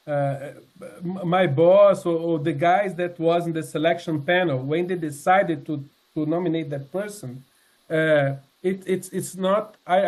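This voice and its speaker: A man in his sixties with a notably high-pitched voice gives a sincere personal testimony. He talks haltingly, stopping to restart phrases and filling pauses with "uh" and "um". His delivery is plain and earnest rather than polished. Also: darkish tone. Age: 60s. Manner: halting, many fillers and restarts. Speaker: member giving a testimony. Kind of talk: personal testimony talk with slides.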